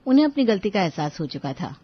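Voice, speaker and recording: A woman's voice: mid-range voice, sing-song, quick pace, slightly rough, no room sound, muffled recording. A woman narrating a scripted story as the storyteller.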